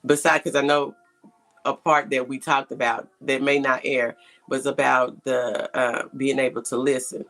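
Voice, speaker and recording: A woman hosting a conversational interview show, asking a guest questions in a gravelly voice. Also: a bass voice, flat delivery, normal speed, fairly bright tone, soft background music. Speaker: podcast host interviewing a guest.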